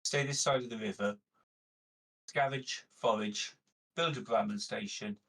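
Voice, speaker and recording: A man's voice: on the low side, measured pace, fairly bright tone. A man chatting casually as a player in a tabletop game. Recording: slightly distant from the mic.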